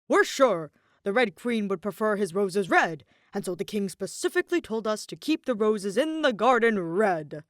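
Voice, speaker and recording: A woman's voice: medium pitch, lively intonation, normal speed, dry recording, clean studio sound, natural voice. A woman voicing a nervous, sheepish male playing-card soldier in a storybook tale, with a flustered, stammering delivery. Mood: sheepish, eager.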